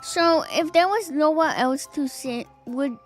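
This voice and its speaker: Playful woman streamer reading fanfics out loud; high voice, unhurried, quick to giggle. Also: faint music behind. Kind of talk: reading viewer fanfics aloud on a live stream.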